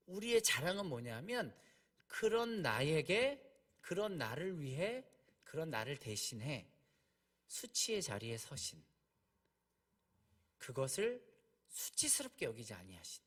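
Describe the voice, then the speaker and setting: A man preaching a sermon, emphatic, slowing down on key phrases and asking rhetorical questions. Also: fairly high pitch, husky. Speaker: preacher, junior pastor.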